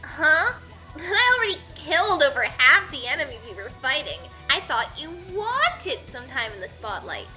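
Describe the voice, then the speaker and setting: A woman's voice: sits high, very animated, moderate pace, sharp tone, soft background music, voice pushed up, full character voice. A woman voicing a brash, carefree, overconfident adventurer girl in a comic story, nonchalant and whiny when challenged.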